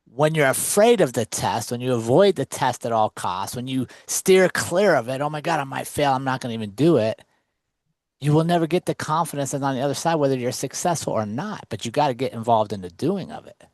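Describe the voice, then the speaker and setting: A man's voice: mid-range voice, lively intonation, a little fast, a little mellow, dry recording. A man hosting a podcast, affirming and encouraging, summing up what his guest says. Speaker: podcast host. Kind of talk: interview chat.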